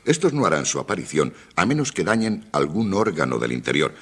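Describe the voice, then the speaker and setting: An actor in a radio drama playing an authoritative, methodical doctor with a gravelly, husky voice and a formal, measured way of explaining. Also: male voice, sits low, big pitch swings, fairly bright tone, heavily acted. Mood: assured. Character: authoritative male doctor briefing a surgical expedition, calm, methodical.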